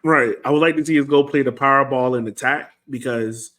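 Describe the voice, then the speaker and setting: A man's voice: medium pitch, fairly quick, a bit bright. Casual man talking sports as podcast host. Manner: informal, colloquial phrasing.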